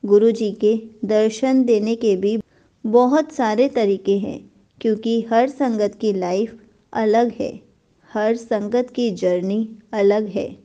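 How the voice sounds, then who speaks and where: A female host speaks slowly and gently, thanking her guest. Her voice is slightly high with a mellow warmth. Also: lo-fi sound. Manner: gentle and appreciative. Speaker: channel host.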